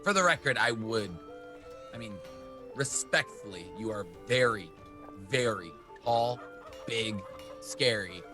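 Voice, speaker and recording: A man's voice: medium pitch, animated, slow pace, pauses often. A man playing a tabletop game in character, talking in a jumpy, nervous, rambling way. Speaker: player. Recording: background music clearly heard.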